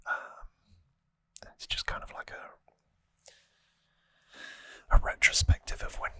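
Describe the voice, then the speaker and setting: An ASMR host whispering a casual, relaxed, rambling trivia recap very close to the microphone. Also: dry recording.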